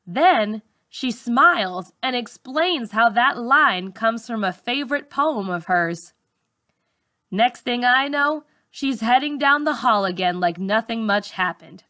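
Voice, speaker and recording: A woman reading a first-person story aloud in an expressive, dramatic teacher's storytelling style. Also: on the high side, slow.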